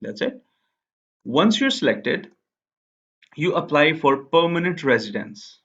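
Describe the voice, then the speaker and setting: A man's voice: a little high, sing-song, takes it slowly, a bit bright. A young man, an Urdu speaker, explaining a process step by step in a casual tutorial, often checking in with "okay". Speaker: immigration explainer. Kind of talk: casual screen-share tutorial. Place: small indoor room. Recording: slightly dull lo-fi sound.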